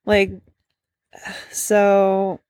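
A woman's voice: medium pitch, monotone, extremely slow, a little mellow, no echo. A young woman chatting casually, rambling and swearing offhand, with a dry, phlegmy throat. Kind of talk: casual chat.

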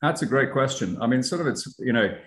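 A man's voice: medium pitch, animated, a little fast. An Irish man, a company founder, explaining his business in a conversational interview with frequent ums and restarts. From Ireland. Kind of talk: casual interview talk. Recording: roomy echo.

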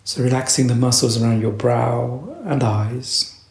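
A man leading a guided meditation as a teacher, speaking in a slow, measured way with long pauses. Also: medium pitch, fairly even intonation, gravelly, reverberant.

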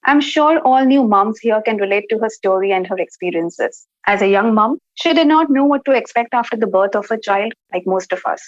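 A woman's voice: medium pitch, expressive, a little fast, few pauses, dry recording. A woman co-hosting a podcast, encouraging and interviewing a guest. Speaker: podcast co-host. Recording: muffled, dry recording.

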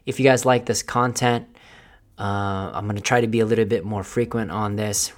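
A man talking earnestly to camera in a motivational fitness vlog, restarting phrases often and slowing down to stress key points. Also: fairly low pitch. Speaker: fitness vlogger.

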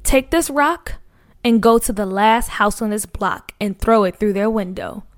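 A woman's voice: mid-range voice, unhurried, dry recording, studio quality, natural voice. A woman voicing a smug neighborhood bully boy who taunts and mocks. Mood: taunting. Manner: taunting, mocking.

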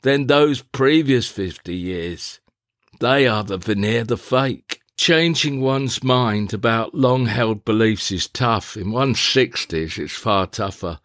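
A man narrating a scripted, reflective personal diary in a measured, deliberate, formal manner. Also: mid-range voice, animated, a bit bright, no echo, studio quality. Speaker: diarist, playwright.

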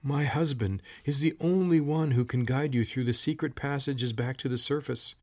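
A man's voice: lowish voice, animated, measured pace, husky, no echo, clean studio sound. A man voicing an elderly woman healer, a pleading and resolute wife in a fantasy story. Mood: urgent, frustrated. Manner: pleading, insistent.

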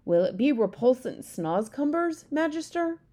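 A woman's voice: sits high, very animated, unhurried, dark tone, pitched above the performer's own voice. A woman voicing a huge, kindly male giant who speaks with garbled grammar and grand, humble politeness. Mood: earnest.

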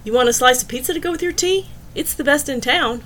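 Lady reader doing a friendly knowing woman bartender, teasing, unbothered, higher voice, storybook dialogue. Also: fast talker, fairly bright tone, slight background noise. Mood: amused.

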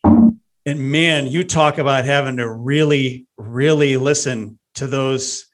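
A man's voice: medium pitch, slow pace. An American man hosting a casual interview in an informal, chatty way, often thinking aloud. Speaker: interviewer, host.

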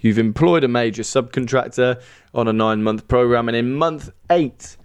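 Male host, Brummie accent, mid-pitched and crisp, chatty and informal podcast delivery. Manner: informal, self-deprecating.

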